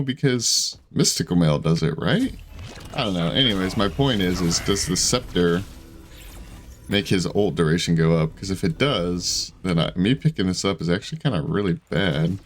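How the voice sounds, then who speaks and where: A man in his twenties giving casual, chatty gameplay commentary from his room, self-deprecating and quick to laugh. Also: sits low. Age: 20s. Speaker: gaming streamer. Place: home room at a desk.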